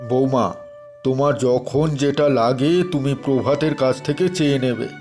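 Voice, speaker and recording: A man voicing a kindly elderly village father in a cartoon dub, speaking gently and earnestly. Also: mid-range voice, measured pace, soft background music, natural voice. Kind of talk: cartoon dubbing.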